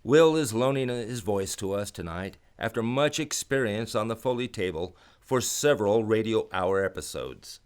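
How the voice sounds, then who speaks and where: A man's voice: fairly low pitch, animated, moderate pace, hardly any pauses, clear, resonant tone. A man hosting a radio show from a studio in a theatrical, old-time announcer style, with grand scripted narration.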